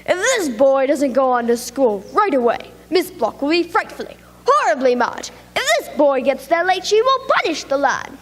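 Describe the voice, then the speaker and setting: A woman's voice: high-pitched, very animated, moderate pace, long reverb tail. A woman voicing a bossy cat who lectures and warns during an argument. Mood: warning. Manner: lecturing, warning.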